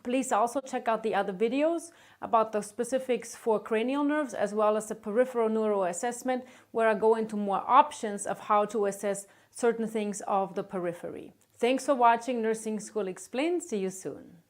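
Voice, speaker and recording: A woman's voice: a little high, moderate pace, few pauses. A woman teaching a clinical exam, giving a patient polite, step-by-step directions with brief words of encouragement. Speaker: nursing instructor demonstrating an exam.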